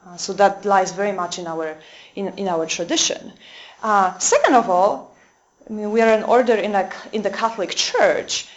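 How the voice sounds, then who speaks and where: A Dominican sister with a Polish accent giving a talk to a small audience in a convent room, hesitant with many fillers and restarts. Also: female voice, medium pitch, moderate pace, muffled recording. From Poland.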